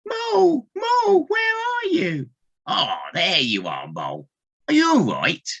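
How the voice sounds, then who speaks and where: A protective woodland animal voiced by an actor, husky and fussing. The pitch swings wildly from deep lows upward, in caring audio-play style. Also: huge pitch swings, slow, fairly bright tone, raised voice, full character voice. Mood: worried, fussing. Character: fussy, caring animal friend of the mole. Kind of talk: children's audio play acting. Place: living room at home, recorded over video call.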